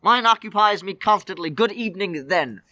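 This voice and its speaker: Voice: medium pitch, animated, normal speed, pushed and bright. An actor playing a miserly, cold, scornful old man in an old-time radio play, curt and grumbling. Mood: grumpy, indignant.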